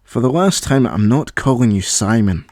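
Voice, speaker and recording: A man's voice: medium pitch, expressive, normal speed, darkish tone, dry recording, studio quality, lightly acted. A man voicing a proud young boy inventor, easily irritated, in an audio story. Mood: weary.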